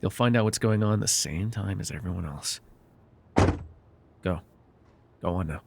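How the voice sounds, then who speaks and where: A man playing a controlling, vengeful supervillain in an immersive ASMR roleplay, taunting and giving orders in a deliberate, mocking way. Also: low voice, creaky, heavy background noise. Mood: controlled, commanding. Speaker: voice actor.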